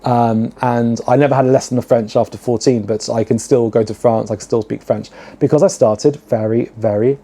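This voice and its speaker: Camp British drag host, dark tone. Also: male voice, fairly even intonation, fairly quick, hardly any pauses. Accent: British English. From United Kingdom. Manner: camp, theatrical, swings into sudden high exclaims; many "um" fillers, chatty and self-mocking. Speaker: drag queen podcast host. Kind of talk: chatty solo talk to camera.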